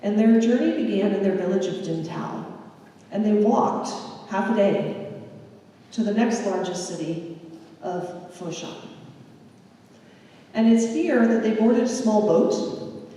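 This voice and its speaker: A woman giving a public history lecture, telling a migrant's story in a measured, unhurried way. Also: medium pitch, mellow. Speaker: history presenter. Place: lecture hall. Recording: echoey hall from far off.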